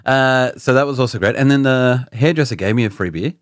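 A man's voice: medium pitch, fairly quick, no echo, clean studio sound. A man with an Australian accent co-hosting a podcast in casual chat, joking and self-mocking. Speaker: podcast co-host.

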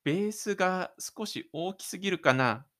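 A man's voice: sits high, lively intonation, moderate pace, fairly bright tone, pitched above the performer's own voice. A man playing a shy, stubborn teenage schoolboy in an audio drama, muttering an inner monologue to himself. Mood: self-convincing.

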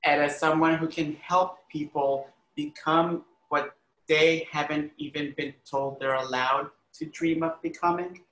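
A bright, forceful man's voice, pitched a little high, in a roomy recording. He is from Honduras and talks as an interview guest, earnest and emphatic, in a conversational way full of small filler phrases. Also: steady tone, unhurried. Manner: earnest, emphatic, frequent "like" and "you know". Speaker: arts critic and educator, podcast guest. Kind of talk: conversational interview, personal storytelling.

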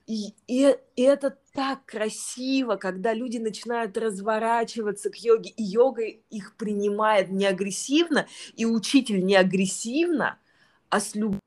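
A woman's voice: on the high side, moderate pace, a little mellow. A woman teaching yoga and trained as a clinical psychologist, speaking thoughtfully in an interview, with many fillers and restarts. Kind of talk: reflective interview conversation.

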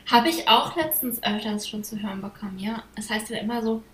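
A German woman co-hosting a casual podcast, friendly and quick to laugh, asking her partner questions. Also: a little high, fairly bright tone, echoey room. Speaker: podcast co-host. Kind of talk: casual chat. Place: living in the United States.

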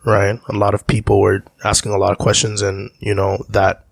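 A gravelly, slightly husky man from Zambia talks as a guest in an interview. He rambles through his memories in a reflective way, and his lowish voice sounds dry and close. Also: monotone, normal speed, dry recording. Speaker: musician guest. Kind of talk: casual interview talk.

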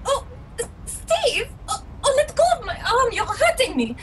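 A coquettish, scheming femme fatale from an old radio crime play. Her very high voice swoops melodramatically and takes its time, as if forever playing the victim. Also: big pitch swings, unhurried, background noise, exaggerated voice. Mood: whining distress.

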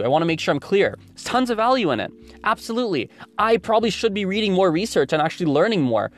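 A man in his twenties from Canada, hosting a solo podcast and thinking aloud, rambling with many restarts. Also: on the high side. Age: 20s. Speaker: podcast host.

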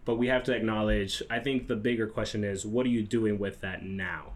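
Young man from the Dominican Republic, low voice, earnest and reflective podcast host. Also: fairly even intonation, fairly quick. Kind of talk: casual podcast discussion.

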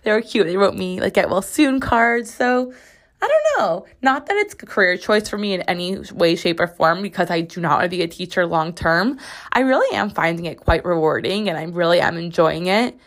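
Slightly raspy young woman podcast host. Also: lowish voice, animated, moderate pace. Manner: chatty, rambling, many "like"s. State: recovering from lost voice. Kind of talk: casual solo podcast chat. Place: home bathroom.

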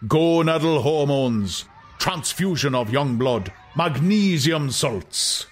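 A man voicing a grandiose older world leader in an audiobook, lecturing in measured oratory and savouring each list. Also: on the high side, lively intonation, soft background music, voice pushed up. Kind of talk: dramatized audiobook acting.

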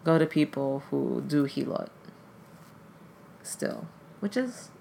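A podcast guest whose first language is Tagalog, with a gravelly, creaky edge to a mid-pitched voice. They talk casually and haltingly, with "like" dropped into almost every phrase. A faint hiss of noise sits under the recording. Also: big pitch swings, warm tone. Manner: hesitant, heavy use of "like" fillers. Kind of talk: casual conversation.

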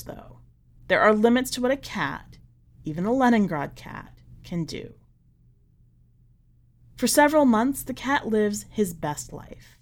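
A woman narrating literary fiction from a script, with measured, deliberate phrasing that falls away at the ends of lines. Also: a little high. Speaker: podcast editor reading a short story aloud.

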